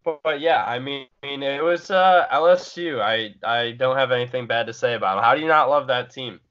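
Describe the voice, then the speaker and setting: A man co-hosting a casual sports podcast, measured and conciliatory, often restarting his sentences. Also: medium pitch, a bit bright, muffled recording. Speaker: podcast co-host.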